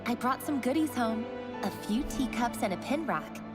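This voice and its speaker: A chatty, affectionate woman, played by an actress, reads out a note in a high voice. Her delivery is breezy and friendly, like a video game memory. It echoes with long reverb over clear music. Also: animated, normal speed, lightly acted. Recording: echoing reverb effect.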